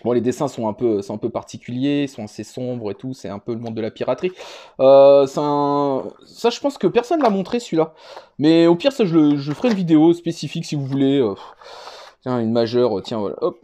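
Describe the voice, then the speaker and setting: A man with a relaxed, mellow voice at an average pitch presents like a casual home vlogger. He talks off the cuff, hesitating and circling back over his words as he rambles along. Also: a little fast. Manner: hesitant, rambling, often repeating himself. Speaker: vlogger presenting a card collection. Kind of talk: casual unscripted show-and-tell.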